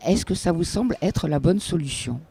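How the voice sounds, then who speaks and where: A male interviewer asks his questions. His pitch is a little high for a man, yet the tone is extremely mellow and dark. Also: animated, moderate pace, vocal fry, husky.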